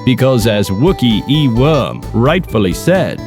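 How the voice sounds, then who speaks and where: Low-pitched, lively woman storyteller. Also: a little slow, music in the background. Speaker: narrator. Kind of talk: scripted children's storybook narration.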